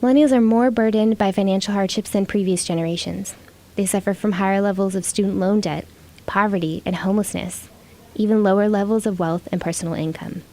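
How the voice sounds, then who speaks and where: Mellow American woman narrating a report. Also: mid-range voice, fairly even intonation, brisk. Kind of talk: scripted narration.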